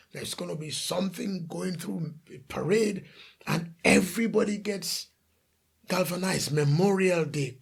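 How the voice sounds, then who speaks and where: A man's voice: high-pitched, gravelly, slightly rough. A man from The Gambia preaching a sermon as a pastor, emphatic, asking rhetorical questions and repeating lists of phrases.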